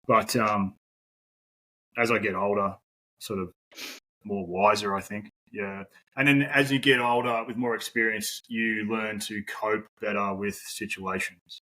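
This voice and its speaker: A man's voice: on the low side, steady tone, measured pace, bright, forceful tone, poor audio. An Australian man in his forties, a podcast guest talking casually, rambling with lots of fillers and the odd swear word. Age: 40s. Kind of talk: casual conversational interview.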